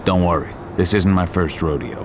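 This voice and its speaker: An actor playing a gruff, stoic, guarded werewolf eco-warrior in a video game cutscene. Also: male voice, deep voice, moderate pace, background noise, close to an everyday voice. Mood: determined.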